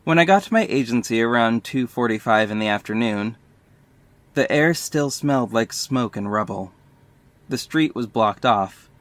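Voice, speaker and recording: A man's voice: mid-range voice, lively intonation, normal speed, lightly acted. A man playing a guarded, wry agency owner who narrates an audio drama in the first person and speaks its dialogue. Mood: somber.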